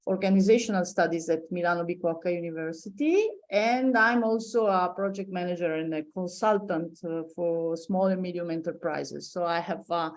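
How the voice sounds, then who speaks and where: A woman's voice: fairly low pitch, moderate pace, hardly any pauses. A middle-aged woman giving a conference presentation as a professor, speaking hesitantly with many fillers and restarts. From born in Poland, raised in Italy.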